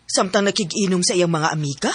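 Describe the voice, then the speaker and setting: Husky-voiced, concerned neighbour woman played by an actress. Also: fairly low pitch, quick pace. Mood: confiding. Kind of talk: radio drama acting in Cebuano.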